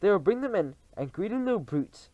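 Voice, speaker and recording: A man reading aloud and voicing a crowd of eager female witches who gush and flatter in shrill tones. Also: brisk, dry recording, narrow, tinny audio. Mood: fawning. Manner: shrill, gushing, sycophantic.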